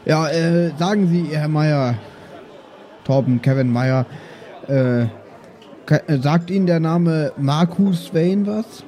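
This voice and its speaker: A man's voice: on the high side, slow, warm tone. A man playing a tabletop audio game, improvising casually and hesitantly as he searches for words. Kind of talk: improvised casual role-play.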